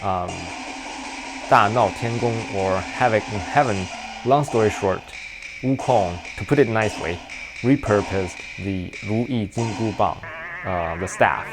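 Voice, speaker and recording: Guy w chinese accent, mandarin native, lower voice, modest game lore commentary, soft music behind. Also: measured pace. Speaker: video creator, narrator. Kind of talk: explanatory game video commentary.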